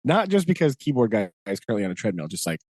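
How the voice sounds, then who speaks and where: A man's voice: fairly low pitch, big pitch swings, quick pace, a little mellow, no room sound. A man co-hosting a podcast in casual chat, loose and full of fillers, often laughing. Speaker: podcast co-host.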